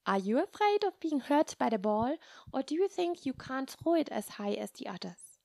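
Woman as a patient, high-voiced kindly nun. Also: very animated, vocal fry, dry recording, full character voice. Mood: gentle, probing. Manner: soft, measured, reassuring. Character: kind, patient, gently humorous nun comforting a child.

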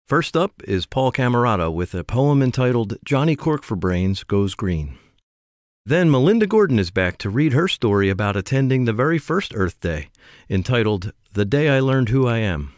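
A man's voice: mid-range voice, big pitch swings, moderate pace, no echo, clean studio sound. Male podcast host, mid-range voice, scripted intros with a playful touch.

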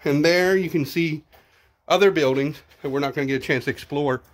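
Middle-aged Texan man, fairly high voice, loose chatty walk-and-talk on a phone camera outdoors. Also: a little fast, no echo. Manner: chatty, thinking aloud, repeats words. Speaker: vlogger exploring ruins. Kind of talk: casual walking tour narration. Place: outdoors at a ruined zoo. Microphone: handheld phone camera.

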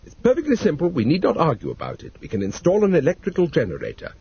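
A man voicing a proud, sharp-tongued older wife who talks in a prim, clipped, indignant way. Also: on the high side, wildly swooping pitch, quick pace, slight background noise, pitched above the performer's own voice. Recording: dull lo-fi recording.

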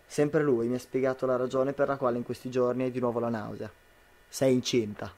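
A man's voice: mid-range voice, level tone, brisk, darkish tone, close to an everyday voice. A young man playing a troubled, disbelieving husband in an amateur radio drama. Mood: earnest, troubled. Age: young adult.

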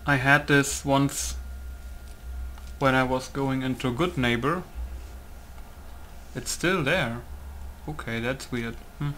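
A man's voice: medium pitch, steady tone, takes it slowly, long pauses, sharp tone. Man muttering casual game commentary, noisy background. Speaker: gamer recording a let's play.

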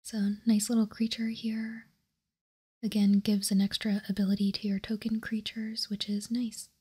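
A woman whispering in a hushed, calm and deliberate ASMR style as she gives card-by-card commentary, close to the microphone. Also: mid-range voice. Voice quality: breathy, unvoiced whisper. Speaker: host of a card game ASMR channel.

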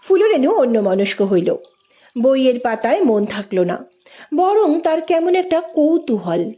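A woman's voice: high voice, very animated, unhurried, mellow, dull audio. A woman reading a literary story aloud as a scripted narrator.

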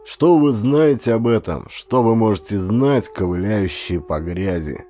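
A man narrating an adventure audiobook as a dramatic, expressive, theatrical storyteller. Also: lowish voice, measured pace, soft background music.

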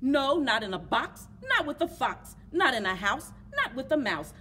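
A woman's voice: sits high, sing-song, normal speed, sharp tone, a little hiss or hum, full character voice. A woman voicing a stubborn, grumpy storybook creature with emphatic, exasperated refusals in rhyme. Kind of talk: rhyming picture-book read-aloud. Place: public library children's book corner.

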